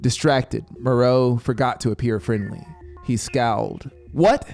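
A man in his thirties reads a story as a dramatic narrator. His voice sits at a middle pitch, close on a studio mic. A musical soundscape plays behind his suspenseful delivery. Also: fairly even intonation, measured pace, gravelly, darkish tone, soft background music. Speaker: audiobook narrator. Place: small home music studio. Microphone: close studio microphone.

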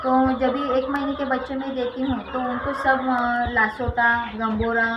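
A middle-aged woman speaks high and plainly, giving short factual replies with hesitant pauses. She is caught a little off-mic on a phone, and chickens cluck loudly around her. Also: level tone, highs cut off. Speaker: poultry farm owner. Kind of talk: answering interview questions. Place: outdoor poultry farm sheds. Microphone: off-mic via vlogger's phone. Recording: phone audio with loud farm noise. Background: loud clucking chickens.

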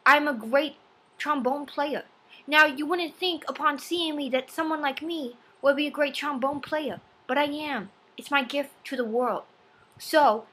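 Casting: nerdy fifteen-year-old boy vlogger played by a woman, high bright voice, earnest and preachy amateur self-help delivery. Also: rough recording. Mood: proud. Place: small home room. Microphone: laptop webcam at arm's length.

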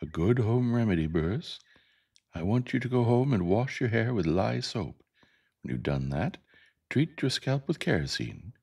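Male reader as young female schoolteacher, prim, shaken, raised pitch, storybook narration. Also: big pitch swings, normal speed, vocal fry, a little mellow, husky, exaggerated voice. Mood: polite, firm.

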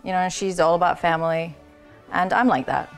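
A woman's voice: fairly low pitch, moderate pace, soft background music. Woman actor in a light interview. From Bulgaria. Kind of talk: press interview.

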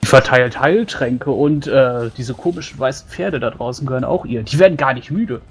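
A man playing a plain-spoken, grumbling dwarf warrior in a tabletop roleplay, speaking haltingly and matter-of-factly. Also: mid-range voice, breathy edge, close to an everyday voice. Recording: faint background noise.

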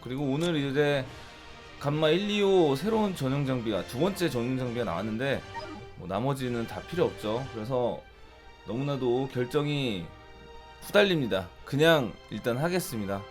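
A man with a hoarse, cracking, sore-throated voice giving casual commentary as the host of a gaming video. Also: mid-range voice, expressive, moderate pace. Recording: loud music behind the voice. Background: loud music.

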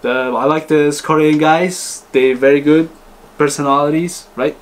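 A man's voice: fairly high pitch, steady tone, a little slow. A man giving a casual, unscripted product review, talking to viewers in choppy, informal phrases. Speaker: host reviewing car audio speakers.

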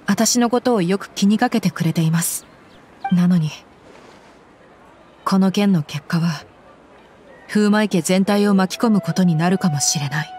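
A woman's voice: fairly low pitch, a little mellow, lightly acted. A woman playing a tough young female mercenary bodyguard in an anime game, frank and loyal, speaking plainly over music. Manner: rough, frank speech turning polite. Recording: background music behind voice.

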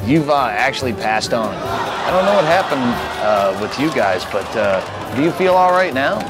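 A man in his thirties hosting a prank segment, interviewing couples in a deadpan, mock-serious way. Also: medium pitch, moderate pace, loud music behind the voice, over a noisy crowd. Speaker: prank show host. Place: city street and studio set.

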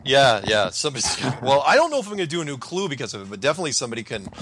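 A man's voice: medium pitch, very animated, rapid-fire, fairly bright tone, a little hiss or hum. A man hosting a casual talk show, rambling through ideas with frequent restarts and fillers. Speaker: podcast host. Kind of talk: casual call-in chat.

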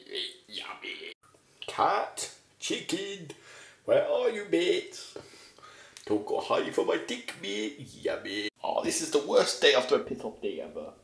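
A loud, rowdy man goofs around in crude comedic roleplay while gaming, tacking "mate" onto almost everything. His gravelly voice swoops wildly up and down. It carries a roomy echo. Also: medium pitch.

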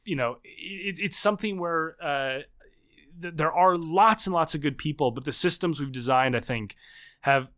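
A man in his thirties, a guest author in a conversational interview, explaining in quick, animated bursts with frequent restarts. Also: on the high side. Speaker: guest, political scientist and author.

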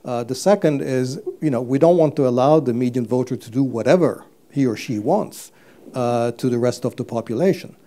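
Older man from Turkey, mellow voice, halting stage-guest delivery with fillers and restarts, lapel mic. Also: mid-range voice. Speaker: guest economist. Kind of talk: live stage interview. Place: stage before a live audience.

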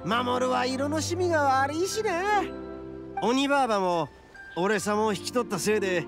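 A man voicing a boisterous, big-hearted young oni gang boss in a Japanese game, cocky and loyal, with swaggering rough speech. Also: sits high. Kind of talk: Japanese anime game voice acting.

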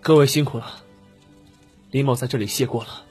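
A man's voice: mid-range voice, fairly even intonation, moderate pace, darkish tone, breathy edge, soft background music, pitched above the performer's own voice, close to an everyday voice. A man voicing a weary, frank young wandering cultivator who speaks firmly and plainly in an audiobook. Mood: grateful, reassuring. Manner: plain-spoken, firm.

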